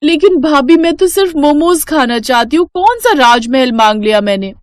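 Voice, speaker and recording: A woman's voice: high voice, animated, moderate pace, no echo, pitched above the performer's own voice. A woman voicing an eager, childishly stubborn young village sister in a cartoon story, with a wheedling, emphatic delivery. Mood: pleading.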